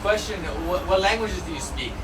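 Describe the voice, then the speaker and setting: A man from Miami, host of a travel vlog, quickly interviewing people on city streets. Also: high voice, expressive, recorded from a distance, a loud crowd, rough recording. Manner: quick, chatty questioning. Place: busy city streets, outdoors. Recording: street noise behind.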